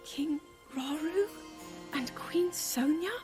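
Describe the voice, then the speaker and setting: Young princess, high pitch, anxious, polite, earnest, video game drama, clear music behind. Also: female voice, slow pace. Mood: awed, bewildered.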